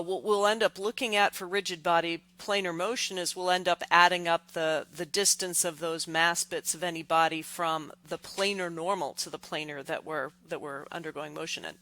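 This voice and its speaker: Bright-voiced woman teaching step by step. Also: on the low side. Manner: deliberate, halting phrasing with repeated words. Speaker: engineering instructor. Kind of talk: step-by-step lecture explanation.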